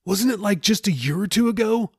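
A man's voice: sits high, animated, fairly quick, darkish tone, slightly rough, no echo, clean studio sound, voice pushed up. A man voicing a desperate young male captive sailor in an audiobook, pleading and agitated in slangy speech. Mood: nervous, insistent.

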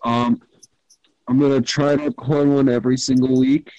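A man's voice: mid-range voice, flat delivery, moderate pace, darkish tone, no room sound, muffled recording. A teenage boy with an Irish accent, hosting a loose chat on a home microphone. He swears freely and teases his friends bluntly. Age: teens. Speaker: podcast host. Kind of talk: casual chat.

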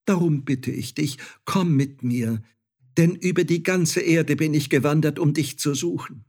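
A man's voice: on the high side, unhurried, a little mellow, voice pushed up. A man voicing a ragged beggar woman who pleads with her lost son. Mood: pleading. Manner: pleading, imploring.

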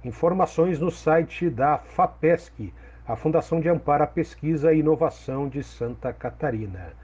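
A man with a Brazilian Portuguese accent, presenting a farm radio program in a steady, measured scripted read. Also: on the high side, slightly rough. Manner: steady, measured reading of announcements. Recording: dull lo-fi radio sound with faint music.

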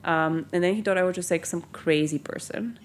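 A woman's voice: lowish voice, brisk, a little hiss or hum. A young woman from Bulgaria, a company founder, chatting in an interview with many ums and likes. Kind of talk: casual interview.

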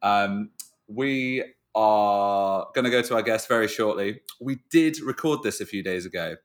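Man, fairly low pitch, podcast host, casual chat, effusive, playful, informal. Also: unhurried.

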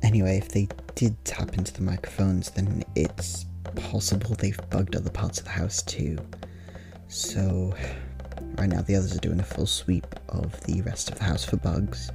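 A performer playing a nervous podcast host in a quiet ASMR roleplay, speaking in a hushed, halting, rambling way with a soft, near-whispered voice. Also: low-pitched, vocal fry, music in the background, full character voice. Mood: anxious, hushed. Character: nervous podcast host hiding from eavesdropping vampires.

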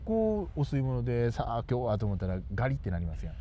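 A man's voice: medium pitch, huge pitch swings, quick pace. A man with a Kansai Japanese accent chatting casually and comically as a radio co-host, mixing polite speech with dialect. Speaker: radio talk show co-host. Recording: dull lo-fi recording with faint noise.

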